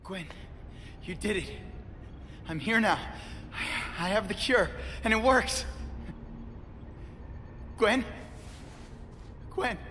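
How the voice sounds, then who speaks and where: Earnest young hero, swooping, emotional voice. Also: male voice, high-pitched, animated, pushed and bright, slight background noise, exaggerated voice. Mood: desperate, grieving. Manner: impassioned, halting, urgent delivery. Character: earnest, stubborn young male superhero, emotional and driven. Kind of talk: video game cutscene acting. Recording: long reverb, dramatic music behind.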